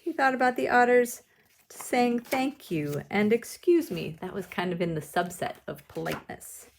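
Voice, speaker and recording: A woman leading a drawing lesson, explaining each step simply and with gentle encouragement, as if to children. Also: mid-range voice, animated, moderate pace, vocal fry, a little hiss or hum. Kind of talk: instructional demonstration, step-by-step.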